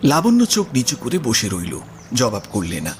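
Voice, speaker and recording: Male story narrator with lively pitch. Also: normal speed, a little hiss or hum. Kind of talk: literary audio story narration.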